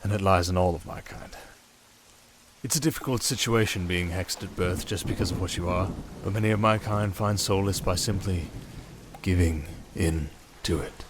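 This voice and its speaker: A man's voice: low voice, a little hiss or hum. A British man playing a sardonic, restrained siren in an intimate ASMR roleplay, speaking in a wry, hesitant, confiding way. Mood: tempted, brooding. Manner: wry, hesitant, confiding, addressing one listener. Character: sardonic, restrained, softly dangerous male siren sea creature. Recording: water and storm ambience behind voice. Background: running water and rain ambience.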